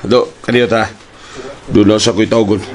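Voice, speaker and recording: An actor playing a fussy, excitable, stammering TV station boss in a radio drama, with a Cebuano Filipino accent. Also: male voice, fairly low pitch, moderate pace, close to an everyday voice. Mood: scheming. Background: background music.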